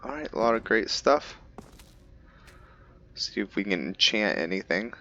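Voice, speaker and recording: A man hosting a gaming video, musing aloud in a relaxed way as he plays and comments on what he finds. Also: lowish voice, unhurried, highs cut off. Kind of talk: casual game commentary.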